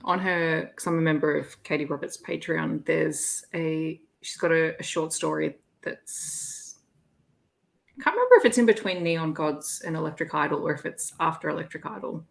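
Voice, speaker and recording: A woman's voice: sits low, normal speed, creaky. A woman hosting an online readalong, chatting casually about books. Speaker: host of an online readalong livestream. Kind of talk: casual livestream chat about romance books.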